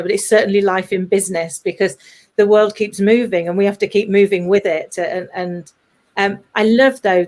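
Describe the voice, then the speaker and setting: A woman's voice: medium pitch, darkish tone. A woman hosting an interview, responding encouragingly with a few restarts. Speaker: host. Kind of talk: conversational interviewing.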